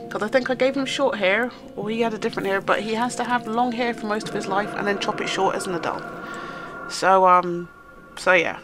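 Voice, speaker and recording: A woman hosting a casual let's-play, chatting to the game characters as she plays, sounding nasal and stuffed up from a cold. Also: medium pitch. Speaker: gaming channel host.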